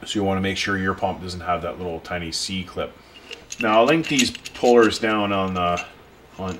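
A man's voice: sits low, fairly bright tone. A man talking a viewer through a repair at his workbench in a casual, plain way. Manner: plain, unpolished explaining while working, talking to the viewer. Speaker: pool and spa repair technician. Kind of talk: casual hands-on repair tutorial. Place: workshop bench. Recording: tool clicks and workshop noise.

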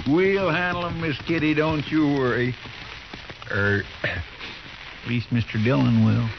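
An actor playing a naive, good-natured marshal's sidekick with a folksy drawl in a 1950s radio western. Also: male voice, medium pitch, slow, vocal fry, long pauses. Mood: cheerful, guileless. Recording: dull, hissy 1950s radio recording.

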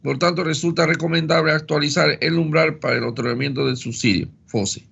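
Gravelly, husky man chairing a session. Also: on the high side, fairly bright tone, highs cut off. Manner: measured, formal reading. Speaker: committee chair. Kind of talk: formal session chairing and reading.

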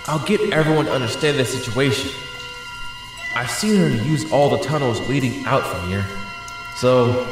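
Echo-drenched man acting a anxious young superhero. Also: medium pitch. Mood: worried, musing. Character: anxious, battered young male superhero narrating his ordeal. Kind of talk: dramatic audio drama acting and first-person narration. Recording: very echoey hall-like reverb, music behind.

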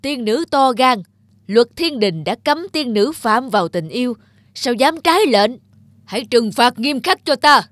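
A man's voice: very high-pitched, animated, unhurried, a bit bright, no room sound, clean studio sound, full character voice. A man playing a stern old heavenly emperor in a cartoon fairy tale, formal and commanding. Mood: angry, commanding. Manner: imperious, formal. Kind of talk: animated fairy tale acting.

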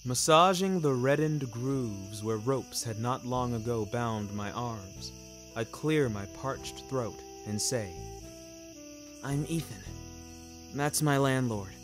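A man narrating scripted first-person fiction in a measured, wry, literary manner. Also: medium pitch. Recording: music bed under the voice.

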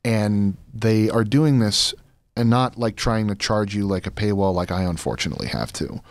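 A man's voice: fairly low pitch, moderate pace, no room sound. A man in his thirties talking earnestly and emphatically as an interview guest, close on a studio microphone. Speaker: podcast guest, audio drama creator. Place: small podcast studio, indoors. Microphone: close studio microphone with headphones.

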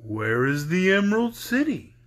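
A man voicing a friendly straw scarecrow with a husky voice, drawling his words slowly and politely in a storybook reading. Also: sits high, big pitch swings, voice pushed up. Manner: slow, drawn-out, polite speech.

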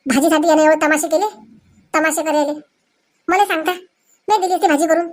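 Woman voicing a high-pitched nosy village neighbour woman. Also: fairly even intonation, a little fast, very noisy, lightly acted. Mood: nosy, exasperated. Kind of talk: comic cartoon dialogue.

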